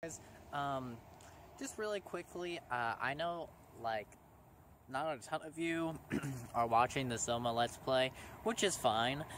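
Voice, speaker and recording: A teenage boy talks at an ordinary pitch in a loose, rambling way, stopping often with ums as he thanks his viewers. Clear background noise sits under his voice throughout this older recording. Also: animated, slow pace, a bit bright. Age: teens. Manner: rambling, hesitant, many ums. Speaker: small YouTuber thanking subscribers. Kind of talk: casual vlog to camera.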